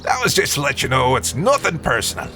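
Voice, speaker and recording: A man's voice: medium pitch, big pitch swings, brisk, pushed and bright, soft background music. An actor playing a cheeky, matey mercenary henchman in an adventure audio drama. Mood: chummy, unapologetic. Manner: colloquial, matey. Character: cheeky mercenary henchman, chummy but self-serving. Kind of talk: 1930s-set adventure audio drama acting.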